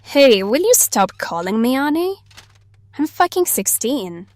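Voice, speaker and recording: A woman's voice: high-pitched, very animated, a little slow, darkish tone, no room sound. A woman playing a blunt, curious, defiant sixteen-year-old girl with a casual, sweary manner in an audio drama.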